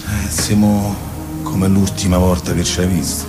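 Deep male voice, Roman accent, actor as calm boyhood companion, theatrical delivery, music behind. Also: a little slow. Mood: calm, gentle. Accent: Roman Italian. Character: old boyhood friend still young, a calm travelling companion. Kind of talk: theatrical radio drama acting.

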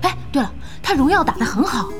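An actress playing a feisty, bossy young internet cafe boss in an audio drama, scolding and teasing with lots of exclamation. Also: female voice, high-pitched, big pitch swings, fast, over music. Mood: chatty. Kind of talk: Chinese audio drama acting.

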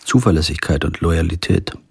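An actor playing a stubborn, principled lawyer who tells a radio crime story in measured, literary German prose style. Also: male voice, sits low, gravelly, background noise. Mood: brooding, measured. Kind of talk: first-person radio crime drama narration and dialogue. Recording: music behind the voice.

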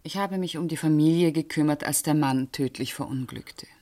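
A woman's voice: low voice, moderate pace, mellow, natural voice. An actress playing a precise, guarded woman witness answering questions in a German radio crime drama, with controlled delivery. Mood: earnest, guarded.

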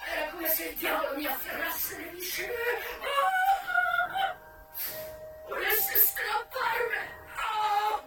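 A woman's voice: very high-pitched, exaggerated voice. An actress performing a dramatic stage monologue as a young American former prostitute and single mother, raw, defiant and emotional. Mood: agonized, wailing. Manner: theatrical, emotional, swinging from hushed to wailing. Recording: distant, very echoey stage recording with music. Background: music.

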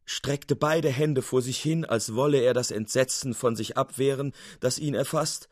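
A man's voice: mid-range voice, moderate pace, no echo. Dramatic male literary narrator, dry sound. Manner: dramatic, formal storytelling. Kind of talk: expressive scripted literary narration.

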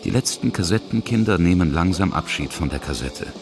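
A man's voice: deep voice, expressive, brisk, vocal fry. A man narrating a scripted radio feature. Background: music.

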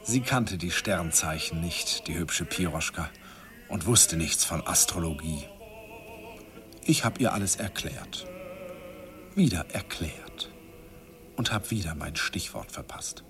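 A man's voice: low voice, expressive, slow, pauses often, music in the background, exaggerated voice. An actor playing a wistful man who narrates memories of his youthful love in a confiding, self-mocking radio play style. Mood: rueful, confiding. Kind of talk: intimate first-person radio play narration.

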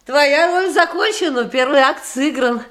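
An actress in a radio play voicing a coquettish, playfully affectionate stage actress with effusive, cooing theatrical delivery. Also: female voice, sits high, unhurried, a bit bright. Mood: playful, coaxing.

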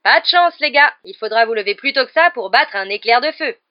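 A woman voicing an excited, partisan schoolboy shouting cheeky live sports commentary in an audiobook. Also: fairly high pitch, a little fast, highs cut off, pitched above the performer's own voice, natural voice. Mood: mocking glee. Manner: exclamatory, shouting, openly biased.

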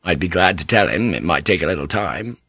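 Wary ship pilot, read by a male narrator, careful and deferential, lowish voice, dry sound. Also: lively intonation, brisk, bright, forceful tone, breathy edge, no room sound, full character voice.

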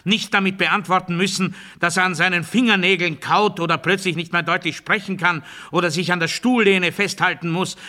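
An actor playing an obedience-obsessed man delivering absurd totalitarian doctrine in a deliberate, declamatory radio play monologue. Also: high voice, steady tone. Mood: strident proclamation. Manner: deliberate, declamatory, swinging from hushed confiding to strident proclamation.

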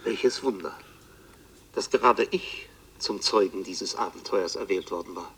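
An actor playing an awed bookseller who narrates his adventure in a radio play, in literary language with a husky voice. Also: deep voice, a little slow, fairly bright tone, heavily acted. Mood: awed, excited.

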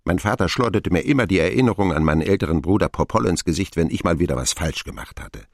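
An actor playing a grown man who wryly recalls his boyhood, narrating a radio drama in an expressive, sardonic manner. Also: low voice, quick pace, creaky, no room sound, studio quality, heavily acted. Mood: bitter, sardonic.

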